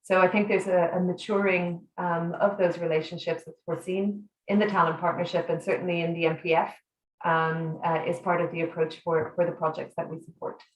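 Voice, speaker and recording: A woman with a low, even voice explains migration programs in a panel discussion. She speaks in a measured way and pauses often to search for words. The recording sounds roomy. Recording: roomy echo.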